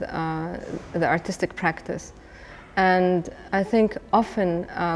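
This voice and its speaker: Palestinian-born woman artist, low even delivery, hesitant interview talk, slight room noise. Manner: hesitant, many fillers and restarts. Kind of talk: documentary interview.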